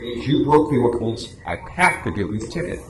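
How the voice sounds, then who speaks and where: A man's voice: mid-range voice, animated, a little fast, close to an everyday voice. A man acting a patient, apologetic but unbending police patrolman in an amateur radio drama reading. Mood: firm, apologetic. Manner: patient, matter-of-fact explaining, apologetic. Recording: echoey room, clear background noise.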